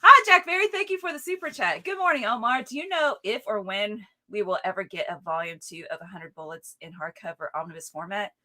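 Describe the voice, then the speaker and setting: A young woman co-hosting a casual comics livestream, playful and quick to laugh as she reads out viewer comments. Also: mid-range voice, expressive, bright, forceful tone. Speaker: co-host reading viewer comments. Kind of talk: casual chat.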